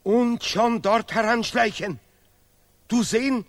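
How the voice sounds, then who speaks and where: An actor playing a pompous, sentimental patriotic scholar in an absurdist radio play, declaiming haltingly in broken grammar. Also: male voice, very high voice, full character voice. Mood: alarmed, excited. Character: pompous male Nobel laureate scholar, bombastic, sentimental patriot.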